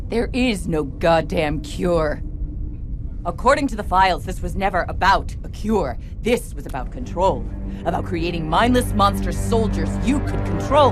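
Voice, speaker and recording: A woman playing a tough, embittered marine sergeant in a motion comic, blunt and defiant. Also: lowish voice. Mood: bitter anger. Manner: blunt, defiant, hard-edged. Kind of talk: dramatic voice acting in a motion comic. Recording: dramatic music behind the voice. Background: dramatic score.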